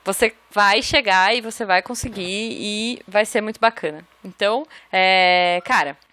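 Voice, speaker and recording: A woman's voice: medium pitch, unhurried, sharp tone. A woman co-hosting a casual podcast, bubbly and full of exclamations, often laughing. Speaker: podcast co-host. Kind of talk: casual banter and testimonial.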